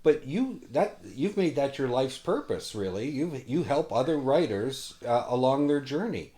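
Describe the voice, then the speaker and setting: A man hosts a casual interview in a medium-pitched voice. He is enthusiastic but halting, doubling back and repeating words as he talks. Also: very animated, warm tone. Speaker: interview show host.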